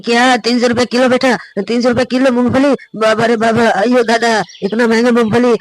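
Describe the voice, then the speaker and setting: A man's voice: very high voice, flat delivery, brisk, dry recording, poor audio. A man playing a stingy old grandfather who begs and haggles in a comedy skit. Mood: pleading. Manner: pleading, wheedling.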